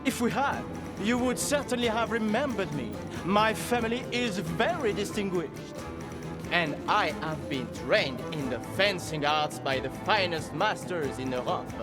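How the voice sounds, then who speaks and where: A foppish aristocratic swordsman, a smug game villain. His voice is high and disdainful, delivered theatrically over loud music. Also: animated, unhurried. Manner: pompous. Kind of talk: theatrical video game acting.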